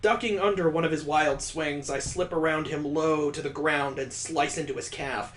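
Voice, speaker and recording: A man's voice: on the high side, steady tone, moderate pace, a bit bright, a little hiss or hum. A man on a stream reading a visual novel aloud, breaking off for crude, joking asides and mock sound effects.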